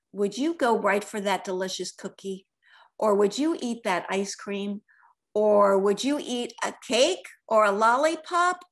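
High-voiced, unhurried woman storyteller. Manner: expressive, asks the listeners questions. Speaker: children's author and storyteller. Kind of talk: picture-book read-aloud with asides to children. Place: indoors, attic-style room at home. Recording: roomy home recording.

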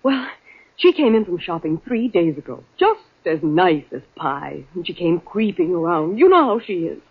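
Distraught pleading nurse, husky swooping voice, halting, breathless, tinny old radio recording. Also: female voice, fairly low pitch, sing-song, full character voice. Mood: anxious, explaining. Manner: breathless, halting, repeating herself. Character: frightened, pleading young woman, a nurse. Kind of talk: 1940s radio melodrama acting. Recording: thin, narrow 1940s radio recording.

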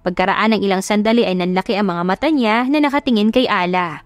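A woman's voice: medium pitch, fast talker, no room sound. A woman narrator reading a scripted audio love story. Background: music.

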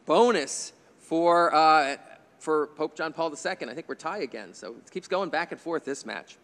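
Casting: man in his 50s, slightly high voice, quiz moderator, reads questions briskly with playful banter; long hall reverb. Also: fairly bright tone. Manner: chatty, jokey asides, chuckles at his own remarks. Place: indoor TV studio stage. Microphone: desk microphone on a stand.

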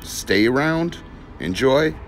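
A man's voice: mid-range voice, animated, slow, noisy background. A man filming a casual how-to vlog in a large indoor workspace, talking folksy and enthusiastic with lots of fillers. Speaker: haunted attraction builder.

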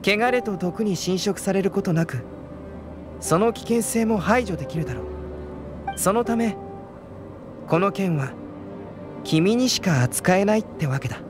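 Young alchemist knight in a game, calm n analytical, high light male voice, music under it. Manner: measured, explanatory, unflustered. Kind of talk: video game dialogue.

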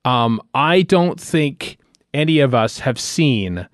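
A man hosting a podcast in casual chat, speaking haltingly with many ums and pauses as he runs through news. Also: mid-range voice, animated, no room sound, studio quality. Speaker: podcast host.

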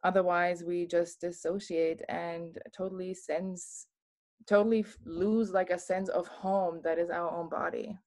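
A woman's voice: on the low side, flat delivery, unhurried, darkish tone. A woman, speaking English on a video call, thoughtful and searching for words. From Munich, Germany. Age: 30s. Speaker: participant. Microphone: laptop webcam on video call.

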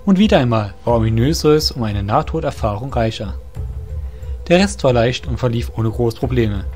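A man narrates a fantasy audio drama in German storytelling fashion. His pitch is average for a man, and music plays behind his scripted reading. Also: animated, moderate pace, darkish tone. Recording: music under the voice.

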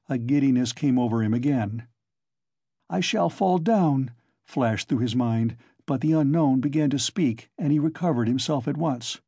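A man's voice: mid-range voice, warm tone, husky, no echo. A man narrating a literary audiobook in a scripted reading style.

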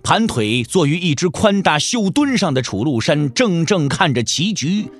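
Expressive, highish male narrator. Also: normal speed, soft background music. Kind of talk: scripted audio drama narration.

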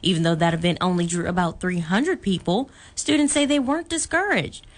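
A woman's voice: lowish voice, fairly quick. An American woman working as a radio news anchor, reading scripted bulletins.

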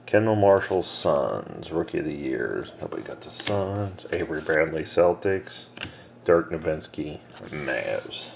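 Man, very deep gravelly voice, slow delivery, camera mic with noisy background. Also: big pitch swings. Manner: reads names and teams in a low mumble. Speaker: card breaker. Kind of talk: casual card-break commentary. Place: small room indoors. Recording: noisy room with card shuffling.

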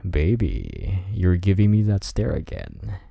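Deep, gravelly, slow-talking man. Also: huge pitch swings, no room sound. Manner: soft, intimate and teasing, with low whispers. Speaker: voice actor in a roleplay audio.

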